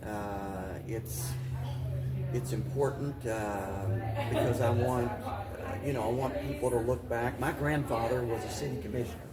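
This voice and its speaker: Halting older man, roomy distant recording. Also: mid-range voice, fairly even intonation, a loud crowd. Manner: halting, reflective, repeats and restarts phrases. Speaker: mayor, talk show guest. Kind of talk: conversational interview answers. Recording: roomy, distant mic, voices in background.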